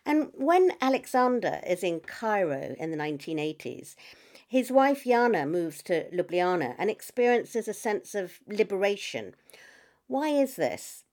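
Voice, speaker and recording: A woman's voice: mid-range voice, very animated, measured pace, darkish tone. A woman interviewer asking a novelist probing questions about his book. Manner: inquisitive, probing.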